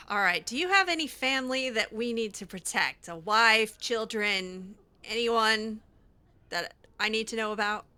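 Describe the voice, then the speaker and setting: Bright, very lively higher-voiced woman. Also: a little slow. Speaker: gameplay roleplayer voicing own character's replies. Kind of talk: casual improvised in-character roleplay commentary.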